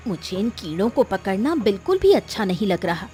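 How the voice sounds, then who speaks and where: A woman plays a squeamish, nagging cartoon wife. Her mid-pitched voice is fretful and insistent as she complains, with soft music behind her. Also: very animated, brisk, natural voice. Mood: annoyed. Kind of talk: Hindi moral-story cartoon dubbing.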